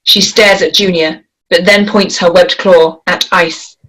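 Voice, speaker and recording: A woman's voice: on the low side, steady tone, fairly bright tone, low-quality recording. Woman reading a screenplay. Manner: measured, descriptive reading. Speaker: script reader. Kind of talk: screenplay table read.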